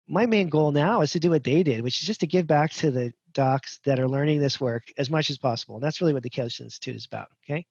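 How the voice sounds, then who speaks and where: A man in his fifties talks through a webinar in a loose, rambling way with a bit of self-deprecation. His voice sits a touch high, and the video call audio is dull and very dry. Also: darkish tone, no room sound. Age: 50s. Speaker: functional medicine instructor. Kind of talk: casual webinar talk.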